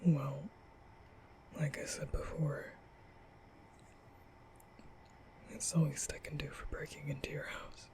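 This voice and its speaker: A man playing a nervous, gentle young vampire in an intimate ASMR roleplay, speaking hesitantly in a breathy half-whisper. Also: on the high side, level tone. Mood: soft, reassuring. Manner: hesitant, stammering, slow.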